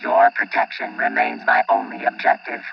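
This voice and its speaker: A man's voice: rumbling low, moderate pace, vocal fry, heavily acted. A man playing a stoic robot guardian in a radio play, speaking formally through robotic voice processing. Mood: stoic, dutiful. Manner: formal, flat machine-like phrasing. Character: stoic robot protector devoted to two children. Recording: muffled, band-limited robot sound over music.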